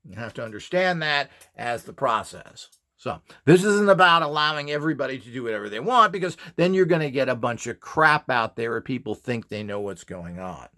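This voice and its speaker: A man's voice: mid-range voice, a bit bright. A middle-aged or older man presenting an unscripted how-to demonstration in an opinionated, boastful, emphatic manner. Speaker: presenter of a how-to video series. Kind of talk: unscripted product demonstration and explanation.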